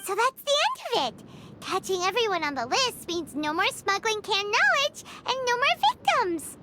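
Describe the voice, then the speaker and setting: A woman voicing a tiny, eager and curious female companion in a game, squeaky and childlike. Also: very animated, normal speed, background noise. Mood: excited. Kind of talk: game dialogue acting. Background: game music.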